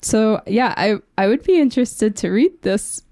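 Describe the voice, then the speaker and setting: A woman's voice: a little high, expressive, moderate pace, warm tone, dry recording, clean studio sound. A young adult woman, a scholar guesting on a podcast, talking casually and reflectively. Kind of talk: casual discussion.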